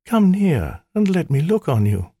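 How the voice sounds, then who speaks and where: A man's voice: on the high side, very animated, a little slow, mellow, breathy edge, no room sound, clean studio sound, pitched above the performer's own voice, heavily acted. A man putting on a coy, simpering djinni-maiden. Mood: coquettish. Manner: coy, wheedling, mock-sweet. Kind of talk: audiobook character voice.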